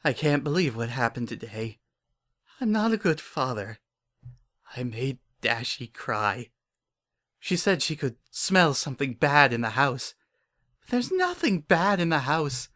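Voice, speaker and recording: A man's voice: mid-range voice, sing-song, unhurried. A man playing a paranoid, obsessive father in a dramatic horror fan fiction reading, with an intense, brooding and repetitive way of talking. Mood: distressed, defensive. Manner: intense, repetitive, brooding, swinging between hushed and frantic. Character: paranoid, obsessive, unhinged father protecting his pony daughter.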